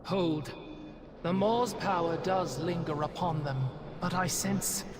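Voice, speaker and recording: A man playing a wary, formal sentinel in a fantasy video game, speaking haltingly. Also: sits high, noisy background. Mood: wary, astonished.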